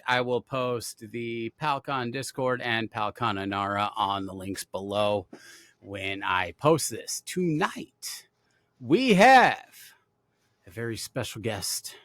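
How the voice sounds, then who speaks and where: An upbeat man from Denver hosts a casual gaming podcast, rambling happily through lots of fillers and restarts. His voice sounds bright and forceful. The recording is dry and close to the mic. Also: medium pitch, lively intonation, slow, no room sound. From Denver, USA. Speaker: podcast host.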